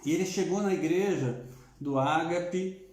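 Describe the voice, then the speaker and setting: A man's voice: a little high, unhurried, darkish tone, reverberant. A man from Curitiba with a southern Brazilian accent, speaking as a pastor in an informal, conversational livestream talk, often adding tag questions. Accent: southern Brazilian Portuguese.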